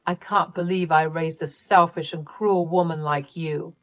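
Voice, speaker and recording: A woman's voice: low voice, fairly even intonation, measured pace, dry recording. A strict older mother in a storybook reading, scornful and cold, voiced by a woman. Her words come out cutting and hard.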